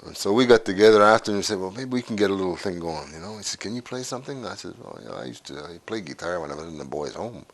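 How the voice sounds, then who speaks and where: A man in his sixties from Canada, recalling his life story in a documentary interview, unhurried and halting with frequent pauses. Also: deep voice, animated. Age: 60s.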